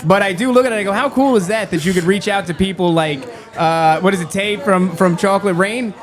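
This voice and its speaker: A man with a shrill, high voice trading remarks on a live stream. He talks in a dry, wry, self-deprecating way, easygoing and unhurried. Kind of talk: casual live-stream chat.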